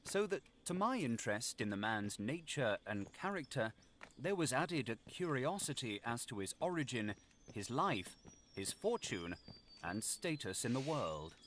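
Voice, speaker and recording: A man reading a literary story as an audiobook narrator, in a measured, formal and dramatic delivery. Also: very animated, a bit bright. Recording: noisy, with vehicle rumble over it. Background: construction vehicle game sounds.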